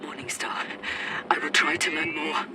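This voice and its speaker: Desperate, high-pitched radio distress broadcaster. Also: male voice, completely flat delivery, normal speed. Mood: fearful, hushed. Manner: urgent, pleading, formal. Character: frightened, desperate male radio broadcaster in hiding during invasion. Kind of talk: dramatic game voice acting as distress transmission. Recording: heavy noise over radio transmission.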